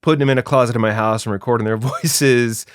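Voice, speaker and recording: A man's voice: medium pitch, fairly even intonation, fast talker, smooth, no echo, clean studio sound. A man hosting a podcast, asking interview questions in casual conversation. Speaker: podcast host.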